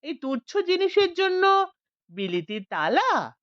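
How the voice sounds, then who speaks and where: A woman's voice: extremely high pitch, big pitch swings, slow pace, a bit bright, no room sound, dull audio, pitched above the performer's own voice, exaggerated voice. A woman voicing a brusque, authoritative older man, a retired village police officer, in a Bengali audio story.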